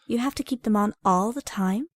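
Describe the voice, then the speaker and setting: A woman's voice: mid-range voice, a little fast, no echo, clean studio sound, natural voice. A young woman playing a nervous, hesitant teenage newcomer in an audio drama. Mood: curious. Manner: hesitant, reflective. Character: nervous teenage girl newly arrived at a mutant school.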